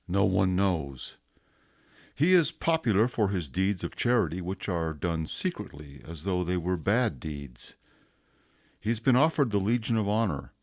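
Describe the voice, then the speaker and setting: An actor playing a stern, suspicious police inspector reading his journal entries in a radio drama, with measured, clipped delivery. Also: low voice, a little mellow, no echo, studio quality, full character voice.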